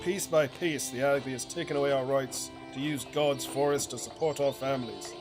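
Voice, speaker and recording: A man voicing an impassioned village rabble-rouser in a video game, declaiming to a crowd in emphatic, measured phrases. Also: medium pitch, steady tone, music in the background, lightly acted. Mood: fervent, defiant. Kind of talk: acted reading of video game speech. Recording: clearly noisy game ambience behind voice.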